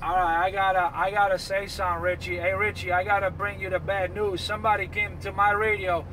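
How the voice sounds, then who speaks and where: A high-voiced man works the CB radio in streetwise slang, repeating his call-outs over and over. Clear vehicle and outdoor road noise runs behind him. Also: little pitch movement, a little fast. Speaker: CB radio operator. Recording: noisy, with vehicle and outdoor traffic sounds.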